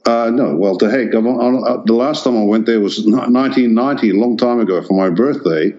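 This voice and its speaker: A man's voice: medium pitch, fairly quick, mellow, dull audio. An older man born in the Netherlands whose first language is Dutch, answering interview questions hesitantly, with many restarts and fillers. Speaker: artist guest.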